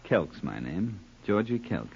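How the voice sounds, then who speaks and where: An American actor playing a courteous, music-loving hired killer in an old radio crime drama, cool, mocking and dryly polite. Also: male voice, low-pitched, big pitch swings, vocal fry, a little mellow, husky, full character voice. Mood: calm, menacing. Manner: unhurried, dryly polite, philosophizing. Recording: muffled, noisy old radio recording.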